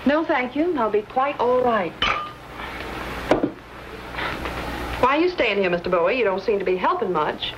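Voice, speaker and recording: A woman's voice: on the high side, lively intonation, a little fast, natural voice. An actress playing a proud, prim young frontier lady with a clipped manner in an old television western. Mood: cool, guarded. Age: young adult. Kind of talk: 1950s television western acting. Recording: dull, noisy vintage TV film soundtrack.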